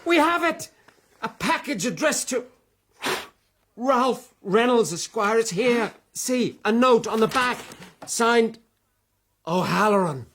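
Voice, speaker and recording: An actor playing an earnest, determined young gentleman with an upper-class English accent in a period radio drama. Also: very high voice, lively intonation, takes it slowly, long pauses, slight background noise, heavily acted. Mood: breathless hope. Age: young adult.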